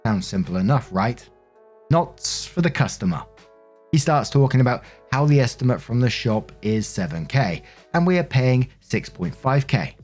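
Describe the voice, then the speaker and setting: A man's voice: medium pitch, sing-song, moderate pace, a little mellow, faint music behind. A man narrating online stories in a plainspoken, conversational way, as a host who reads aloud and comments casually. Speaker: narrator and host of a story-reading show.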